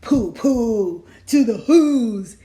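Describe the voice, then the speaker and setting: Woman, children's read-aloud, theatrical storyteller, fairly high pitch, swooping intonation, drawn-out emphasis. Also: animated, mellow. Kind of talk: dramatic picture-book read-aloud.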